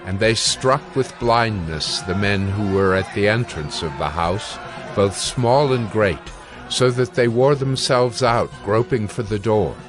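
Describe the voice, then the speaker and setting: A man's voice: on the low side, unhurried, over music. A man narrating scripture as a scripted dramatized reading.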